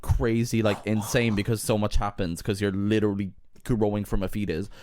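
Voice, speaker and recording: A man in his twenties co-hosting a podcast, chatting casually and musing aloud in a quick, rambling way. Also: on the low side, flat delivery, darkish tone, slight background noise. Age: 20s. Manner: fast, chatty, trails off into musings. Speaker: podcast co-host. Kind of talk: casual chat.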